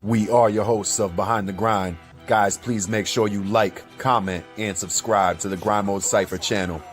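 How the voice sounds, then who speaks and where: A man's voice: on the low side, fairly even intonation, normal speed, faint music behind. A man hosting, reading a scripted promotional intro. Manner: announcing, promotional. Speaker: host.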